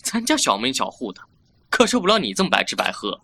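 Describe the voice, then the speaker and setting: Someone playing a bratty boyish youth, swooping pitch. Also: huge pitch swings, quick pace, bright, forceful tone, husky, full character voice. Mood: indignant. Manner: petulant, cocky, scolding. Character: bratty, mouthy young fox-spirit younger brother, boyish. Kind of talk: Chinese fan audio drama acting.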